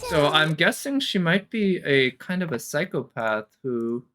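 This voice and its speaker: A man's voice: fairly high pitch, sing-song, unhurried, a bit bright. A man giving casual, off-the-cuff commentary as a streamer, analytical and hesitant as he thinks aloud. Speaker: reaction streamer.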